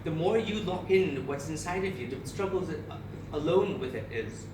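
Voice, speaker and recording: A man's voice: fairly high pitch, lively intonation, normal speed. A man in his thirties from Barbados gives a candid, emotional personal speech to an audience, with frequent restarts. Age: 30s. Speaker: speaker at a charity event. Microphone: far camera. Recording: echoey room, captured from far off with noise.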